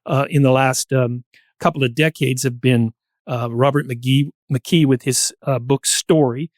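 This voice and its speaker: A man speaking as a podcast guest in a thoughtful discussion, explaining ideas with halting pauses. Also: medium pitch, steady tone, dry recording, clean studio sound. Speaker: podcast guest, playwright and actor.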